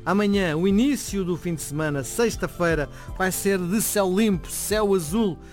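A man's voice: sits high, moderate pace, a little mellow, soft background music. A man with a European Portuguese accent, hosting a radio interview and reading the weather in a conversational way. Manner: conversational, probing questions. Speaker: radio host.

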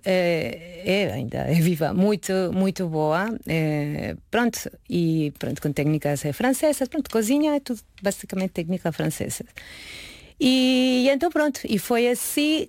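A woman in a close, dry recording. Her pitch sits in the middle for a woman. She tells her story in a chatty, informal way, voicing both sides of past conversations as an interview guest. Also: normal speed, darkish tone, no room sound. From Mexico. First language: Spanish. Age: late 30s. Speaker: guest, pastry chef. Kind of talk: casual autobiographical storytelling in an interview.

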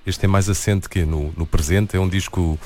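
Super deep voiced guy, interviewer, careful with words. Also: fairly even intonation, a little mellow. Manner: measured, searching for words. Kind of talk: interview questions.

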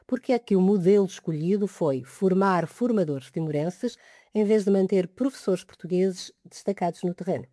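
Woman interviewer, low mellow voice, steady formal read, dry close sound. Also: normal speed, dry recording.

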